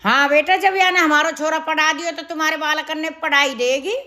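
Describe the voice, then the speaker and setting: A woman's voice: high voice, steady tone, a little fast, very noisy, close to an everyday voice. A woman with a Haryanvi accent playing a bossy, commanding village mother-in-law in a comedy skit. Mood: matter-of-fact, bossy.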